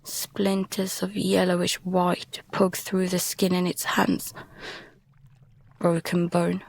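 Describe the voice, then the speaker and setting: A woman acting as a frightened young survivor telling an audio drama in the first person. The voice is breathy and husky, with a slow, halting delivery that sounds worn out. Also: lowish voice, monotone, full character voice. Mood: hushed, drained dread. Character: frightened exhausted young survivor adrift at sea.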